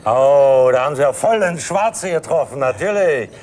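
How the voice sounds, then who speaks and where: Jovial berlin guy with a berlin accent, played by a man, slightly high voice, chuckly. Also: expressive, moderate pace, a little mellow, close to an everyday voice. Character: proud, good-humoured Berliner local man.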